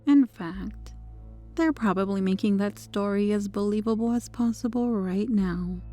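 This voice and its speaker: A woman's voice: mid-range voice, measured pace, dark tone, soft background music, natural voice. A woman playing a corrupted, possessive superheroine in an ASMR roleplay, flirtatious, teasing and condescending toward the listener. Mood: smug scheming.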